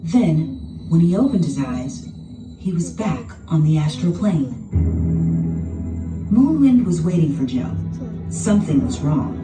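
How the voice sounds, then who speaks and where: A woman narrating a children's storybook in an expressive, dramatic scripted style. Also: low voice, slow, long pauses. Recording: roomy, distant sound with music behind.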